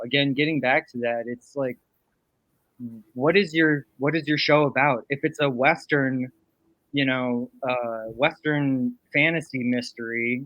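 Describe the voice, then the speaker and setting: Close, dry and a little dull in sound, a man muses through a casual panel discussion. His pitch is average for a man, and he repeats phrases to drive a point home. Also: fairly bright tone, no echo. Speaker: composer, panel guest.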